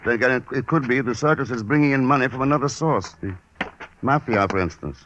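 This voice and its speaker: Brisk, cool radio adventurer, played by a man. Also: mid-range voice, natural voice. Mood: cool, businesslike. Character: cool, shrewd male adventurer investigator. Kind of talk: 1940s radio drama acting. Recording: noisy old radio recording.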